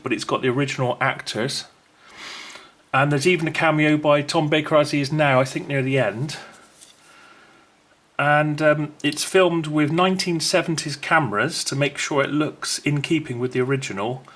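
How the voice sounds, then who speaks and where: A man's voice: mid-range voice, a bit bright. A man presenting an unboxing video in a casual, unscripted way, chatty and rambling as he thinks aloud.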